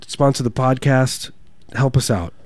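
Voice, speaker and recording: A man co-hosting a podcast, sounding stuffed up and nasal, riffing in a deadpan, casual way and trailing off. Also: medium pitch, measured pace, no echo. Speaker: podcast co-host. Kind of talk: casual banter.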